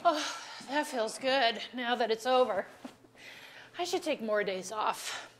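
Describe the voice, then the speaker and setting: A woman's voice: a little high, lively intonation, a little hiss or hum. A woman acting a middle-aged wife in a staged play reading, dry, articulate and wearily exasperated. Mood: dryly weary. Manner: dry, articulate, deliberate phrasing. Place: auditorium.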